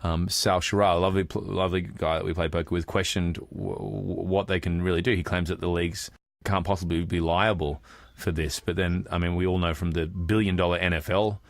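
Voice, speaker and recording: Deep-voiced Australian man, podcast co-host. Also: flat delivery, a little fast, gravelly, no room sound. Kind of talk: casual podcast chat.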